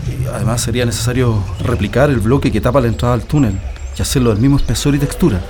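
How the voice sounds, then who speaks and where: An actor playing a pragmatic, calculating political prisoner with a Chilean accent, earnest and measured in a radio drama. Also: male voice, medium pitch, background noise, natural voice. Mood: thoughtful.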